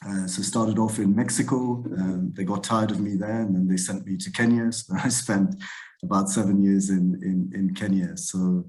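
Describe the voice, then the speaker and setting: A man from Zambia introducing himself on a webinar panel, relaxed and hesitant with many fillers. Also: deep voice, normal speed. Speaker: plant breeding panelist. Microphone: video call.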